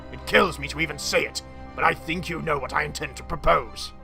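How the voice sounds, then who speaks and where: Very old cartoon tycoon, high-pitched, heavy fry, pompous, dim-witted. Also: male voice, brisk, bright, forceful tone, soft background music, exaggerated voice. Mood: grave, earnest. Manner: pompous, earnest. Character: pompous, feeble-minded ancient male tycoon, feuding brother.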